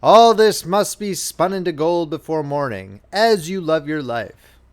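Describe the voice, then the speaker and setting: A man voicing a greedy, commanding king in a storybook reading, with an imperious, deliberate delivery. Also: a little high, expressive. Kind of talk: storybook character voice in a fairy-tale reading.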